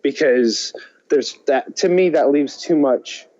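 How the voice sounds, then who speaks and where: A man co-hosting a podcast, chatting casually and thinking aloud in halting, hesitant phrases. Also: mid-range voice, darkish tone, lo-fi sound. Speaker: podcast co-host. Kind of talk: casual chat about movies and games.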